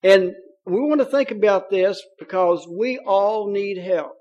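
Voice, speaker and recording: A man's voice: high-pitched, unhurried, highs cut off. A man with a Kentucky accent preaching a sermon in a folksy, joking way, with many fillers. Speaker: pastor.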